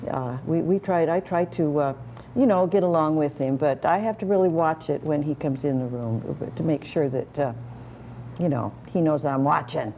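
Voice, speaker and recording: A woman's voice: deep voice, moderate pace, dark tone, highs cut off, a little hiss or hum. A woman voicing an easygoing female house cat puppet, talking in a folksy, hesitant way with restarts.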